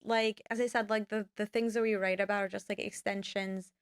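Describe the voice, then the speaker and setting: A woman's voice: medium pitch, flat delivery, fairly quick, smooth, no room sound, clean studio sound. A young woman, a filmmaker guest, talking casually in a podcast interview and rambling with many fillers. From United States. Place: podcast studio.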